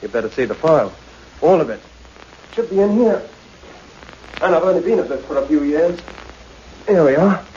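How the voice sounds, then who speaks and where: Casting: male actor as eager press reporter, radio play. Warm, soft-edged tone, informal delivery, dull lo-fi recording. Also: a little high, expressive, normal speed, long pauses, natural voice. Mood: insistent. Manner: informal, conversational. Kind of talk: radio drama acting. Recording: muffled radio recording with faint noise.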